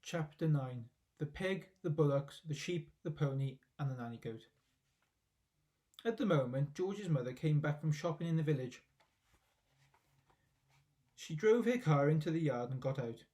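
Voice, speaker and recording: A man's voice: a little high, animated, moderate pace, long pauses, a little mellow. A man narrating a children's story expressively, as a reader reading aloud from a book.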